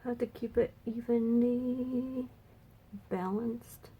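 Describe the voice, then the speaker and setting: A woman makeup vlogger chatting casually and thinking aloud, her voice a little raspy and stuffy from a cold. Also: fairly high pitch, steady tone, slow, mellow. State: slightly raspy, congested from a cold. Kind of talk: casual makeup swatch review chat.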